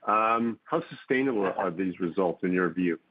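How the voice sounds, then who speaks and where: A man's voice: low voice, expressive, normal speed, no echo. A man speaking as an analyst, asking a question over the phone on an earnings call. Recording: thin, dry phone line.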